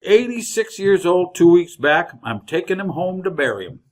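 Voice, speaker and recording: An actor playing a chatty, folksy older wagon driver with a rural Western American drawl in an old-time radio Western. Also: male voice, high-pitched, very animated, moderate pace, darkish tone. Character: garrulous leathery old frontier wagon driver, folksy and cheerful.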